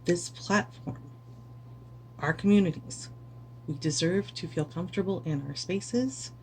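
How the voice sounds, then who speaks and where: A woman's voice: on the low side, measured pace, a little mellow, a little hiss or hum, low-quality recording. Weary, emphatic woman streamer. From United States. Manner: earnest, emphatic, repeats words for stress. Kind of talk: impassioned speech to camera.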